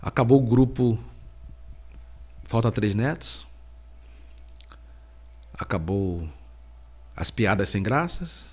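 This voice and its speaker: A middle-aged man, a podcast host and preacher, giving a slow, halting personal faith testimony while he has a cold. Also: fairly low pitch, expressive, darkish tone, breathy edge. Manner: slow, halting, heavy pauses.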